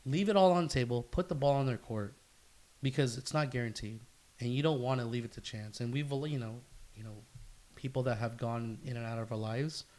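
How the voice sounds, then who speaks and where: Guy hosting a chatty podcast, medium pitched voice, earnest and motivational, stresses his points. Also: a little fast, darkish tone. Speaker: podcast host. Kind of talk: casual podcast discussion.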